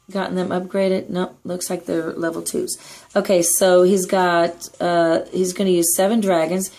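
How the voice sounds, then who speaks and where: A hoarse woman with a raspy voice gives a chatty, upbeat gameplay tutorial voice-over as clan leader. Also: lowish voice, a little mellow. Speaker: clan leader giving strategy tips.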